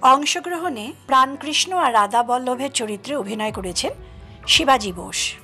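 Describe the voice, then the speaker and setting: A woman's voice: sits high, lively intonation, moderate pace, pushed and bright. A woman presenting an audio drama with a scripted introduction addressed to listeners. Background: music.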